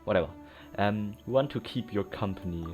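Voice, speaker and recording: Man narrating his own gameplay, low voice, thinks out loud, loud game music behind. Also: a little fast, highs cut off. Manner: rambling, thinking aloud. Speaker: gameplay commentator. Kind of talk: casual gameplay commentary.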